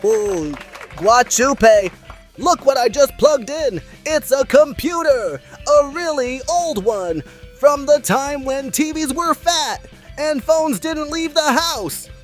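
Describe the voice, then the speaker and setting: Woman doing benny the puppet host, really high voice, playful n showy, music under it. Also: expressive, slow pace. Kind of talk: scripted puppet show presenting.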